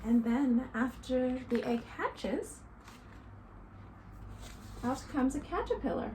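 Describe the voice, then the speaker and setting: High-pitched, lively woman reading to children. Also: a little hiss or hum, low-quality recording. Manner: deliberate, instructive, addressing young children. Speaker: teacher reading to young children. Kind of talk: expressive picture-book read-aloud and simple lesson for children. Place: small living room, indoors.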